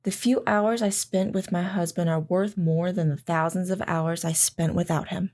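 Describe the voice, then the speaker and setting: A woman playing a devoted military wife in an audio drama, gently and wistfully recalling life with her husband. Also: lowish voice, steady tone, moderate pace, close to an everyday voice. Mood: wistful, steadfast. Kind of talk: audio drama acting, reminiscing narration.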